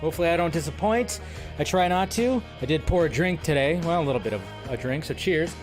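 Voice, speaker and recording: Male streamer, slightly high voice, loose and chatty, faint music behind, reading viewer comments at home. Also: expressive, quick pace. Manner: loose, chatty, sprinkles in mild swearing. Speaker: live-stream host. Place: at home by a window. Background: faint intro music.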